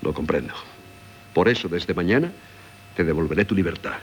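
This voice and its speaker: Very deep-voiced, grave husband. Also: male voice, animated, brisk. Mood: grave, resigned. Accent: Castilian Spanish. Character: solicitous, resigned husband and doctor pleading gently. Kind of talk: 1970s Spanish radio theatre acting. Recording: old radio broadcast, clear hum.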